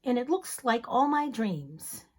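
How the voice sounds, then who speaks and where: Woman doing a content dreamy guy in a picture book, gentle and unbothered, slow and sing-song. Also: a little high, big pitch swings, slightly rough, heavily acted. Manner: gentle, unhurried, matter-of-fact. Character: calm, contented dreamy man.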